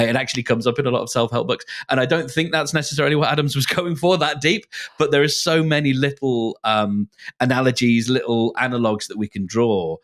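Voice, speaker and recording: A man co-hosting a podcast, retelling and discussing stories casually in a hesitant, reflective way. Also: mid-range voice. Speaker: podcast co-host.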